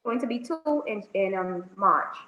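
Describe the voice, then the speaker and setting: A woman, speaking softly and hesitantly as a guest on a video call. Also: medium pitch, animated, measured pace. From Bahamas. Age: 30s. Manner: soft-spoken, hesitant with frequent ums. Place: indoors, small room. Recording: roomy, dull phone audio.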